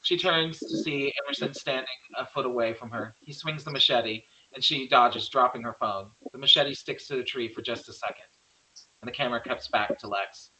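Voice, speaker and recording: A man narrates a horror screenplay, reading scene headings and action plainly. His voice is medium in pitch with a bright, forceful edge. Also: normal speed, low-quality recording. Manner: plain stage-direction reading. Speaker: script narrator.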